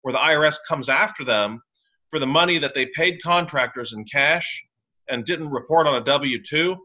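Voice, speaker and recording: A man's voice: on the high side, normal speed, pushed and bright. A man being interviewed as a tax and real estate lawyer on a podcast, talking in a blunt, opinionated, wry way. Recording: slightly dull lo-fi sound.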